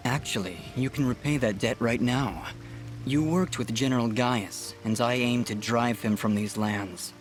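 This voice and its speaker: A man's voice: medium pitch, a little slow, lightly acted. A man voicing an earnest, determined young warrior in a video game, with a direct way of talking. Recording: music and rain behind.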